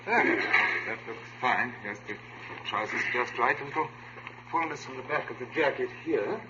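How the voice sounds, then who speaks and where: A man's voice: mid-range voice, moderate pace, close to an everyday voice. An actor playing a fussy, obliging male tailor in an old radio drama, deferential and hesitant, on a muffled, noisy recording. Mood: approving. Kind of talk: 1950s radio drama acting.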